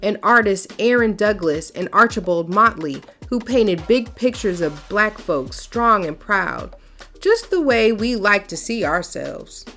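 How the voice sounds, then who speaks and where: Expressive woman reading a storybook. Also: mid-range voice, a little slow, faint music behind. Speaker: children's storytime host. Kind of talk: reading a picture book aloud.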